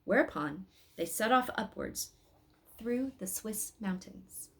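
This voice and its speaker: Expressive woman reading a story aloud. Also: mid-range voice, takes it slowly, breathy edge.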